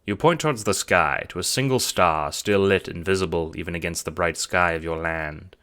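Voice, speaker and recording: A man's voice: sits low, normal speed, bright, forceful tone. A man narrating an audio drama as scripted second-person storytelling.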